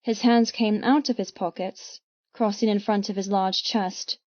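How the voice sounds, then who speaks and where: A woman reading dramatic first-person audiobook narration in an intense, deliberate manner. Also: mid-range voice, fairly even intonation, a little mellow, dry recording, lo-fi sound.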